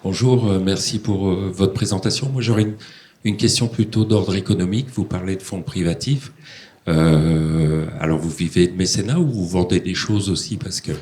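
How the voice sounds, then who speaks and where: A man with a very low voice asks a polite question from the audience. He hesitates with fillers between his phrases. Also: normal speed, darkish tone. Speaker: audience member asking a question.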